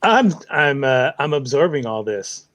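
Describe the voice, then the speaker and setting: A man's voice: medium pitch, big pitch swings, unhurried, fairly bright tone, dry recording. A man co-hosting a casual chat, talking hesitantly and searching for his words. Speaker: podcast co-host.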